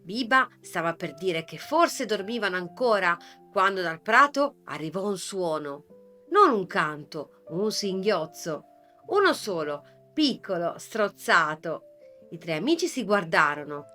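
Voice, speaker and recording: Soft-voiced woman reading a bedtime fairy tale, slow and careful, music under it. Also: fairly low pitch, sing-song. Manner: soft, measured, dramatic pauses. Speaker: storyteller. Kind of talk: gentle scripted story narration.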